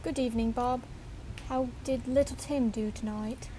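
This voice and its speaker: Young woman playing busy caring mom, high voice, faint noise behind. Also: fairly even intonation, normal speed, dark tone, lightly acted. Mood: homely. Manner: bustling, motherly. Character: caring poor mother and wife. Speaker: student actor.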